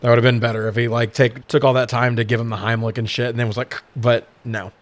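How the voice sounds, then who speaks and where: A man's voice: mid-range voice, level tone, fast, no echo. A man co-hosting a podcast, casually recapping a movie in a profane, sarcastic, exasperated way. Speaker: podcast co-host.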